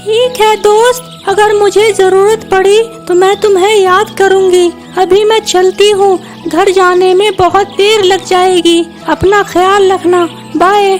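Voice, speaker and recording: Very high-pitched little cartoon bird. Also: female voice, little pitch movement, measured pace, hardly any pauses, soft background music. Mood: caring, resolute. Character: brave, clever, kind young female cartoon bird. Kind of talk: Hindi children's cartoon voice acting.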